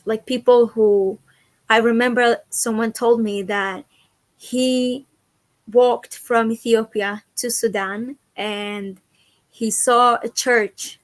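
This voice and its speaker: A woman's voice: medium pitch, fairly even intonation, slow, no room sound, rough recording. A young woman from Israel, an activist and educator, speaking earnestly as an interview guest and searching for her words. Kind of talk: conversational interview.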